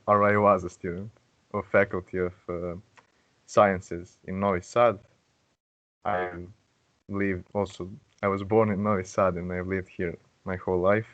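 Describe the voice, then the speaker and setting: A man's voice: deep voice, a bit bright, muffled recording. A young man from Serbia, a Serbian native speaker, introducing himself and correcting himself often in a casual online chat. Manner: hesitant, self-correcting.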